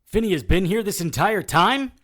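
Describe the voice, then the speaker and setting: An actor playing a gritty, wounded supernatural investigator in an audio drama, narrating in a wry, hard-boiled, dramatic way. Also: male voice, high voice, expressive, normal speed, a bit bright. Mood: pained, alarmed. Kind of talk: first-person noir audio drama narration.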